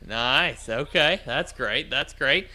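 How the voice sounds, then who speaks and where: Bright-voiced, jokey man in casual chat. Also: medium pitch, lively intonation. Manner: agreeable, jokey, quick to chuckle. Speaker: livestream host from a ham radio company. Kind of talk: casual livestream chat about amateur radio.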